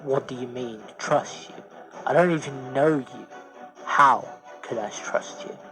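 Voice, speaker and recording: A man's voice: medium pitch, unhurried, bright, forceful tone, faint music behind, poor audio. A man voicing a restless, discontented young prince in a science fiction audio story, stubborn and questioning in manner. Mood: confused, distrustful.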